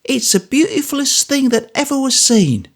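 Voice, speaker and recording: A man voicing a warm, quick-witted soldier's wife who speaks with motherly fondness. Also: sits high, big pitch swings, vocal fry, husky, pitched above the performer's own voice, exaggerated voice. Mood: delighted. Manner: quick, motherly, fond exclamations. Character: older woman, warm, brisk soldier's wife.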